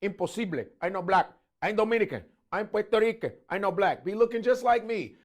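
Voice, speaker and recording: A man's voice: sits high, expressive, a little fast. A man's voice in a played clip, chanting a repeated sing-song refrain.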